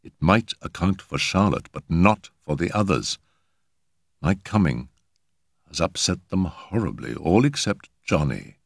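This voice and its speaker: A man voicing a calm, perceptive gentleman in a storybook reading, speaking quietly and deliberately. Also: low-pitched, creaky, breathy edge, no room sound, clean studio sound, heavily acted.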